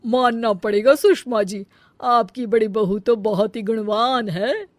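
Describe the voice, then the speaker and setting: A woman voicing a hearty visiting aunt in a cartoon story, loud and effusive with her praise. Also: a little high, a little slow, no room sound, close to an everyday voice. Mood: praising. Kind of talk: cartoon voice acting.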